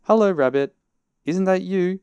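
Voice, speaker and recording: A man voicing a greedy, good-natured, slow-witted bear in a children's storybook, earnest, bumbling and easily flustered. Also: mid-range voice, very animated, darkish tone, smooth, no room sound, muffled recording, pitched above the performer's own voice. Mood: puzzled, earnest. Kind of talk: children's storybook character reading.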